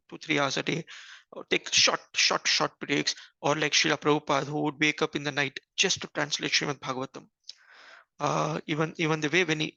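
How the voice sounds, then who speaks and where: A man giving an earnest scripture class, pausing often with fillers and restarts. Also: on the high side, vocal fry, sharp tone, dry recording. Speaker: spiritual teacher. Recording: dull lo-fi recording.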